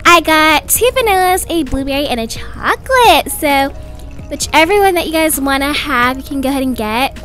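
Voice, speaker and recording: A woman voicing a doting, chatty young mother of twins in an animated roleplay story. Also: sits high, normal speed, soft background music. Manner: gushing, chatty, fussing.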